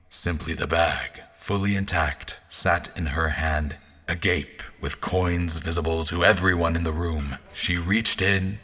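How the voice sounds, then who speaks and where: Deep-voiced, haughty officer narrating, played by a man. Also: a bit bright, slightly rough, full character voice. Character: haughty, observant male royal officer recounting events. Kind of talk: scripted first-person narration.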